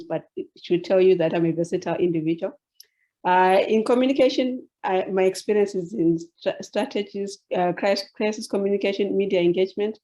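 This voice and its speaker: A woman with a Zimbabwean accent introducing herself in a webinar, speaking haltingly and repeating words. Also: fairly low pitch, warm tone, muffled recording. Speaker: journalist and science communication presenter.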